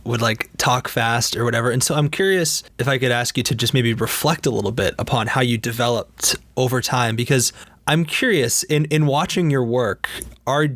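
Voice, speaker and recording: A man's voice: mid-range voice, normal speed, fairly bright tone, dry recording. A man interviewing a guest, asking long, thoughtful questions full of hedges and restarts.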